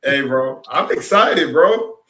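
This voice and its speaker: A man's voice: medium pitch, animated, takes it slowly, bright, forceful tone. A man in his sixties hosting a casual reflective chat, rambling and repeating himself in an upbeat way. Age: 60s. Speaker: host. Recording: echoey room.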